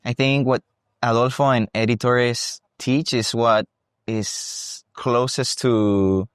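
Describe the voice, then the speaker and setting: A young man from Costa Rica with a Costa Rican accent, talking about his dance career in a relaxed, thoughtful interview. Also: medium pitch, takes it slowly, smooth, dry recording, studio quality. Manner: relaxed, thoughtful, with frequent restarts. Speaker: guest, salsa dancer and teacher. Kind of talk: casual interview. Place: salsa congress venue.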